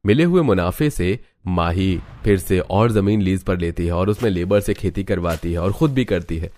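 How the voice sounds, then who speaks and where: A man's voice: sits low, animated, normal speed, dark tone. A man narrating a scripted cartoon story.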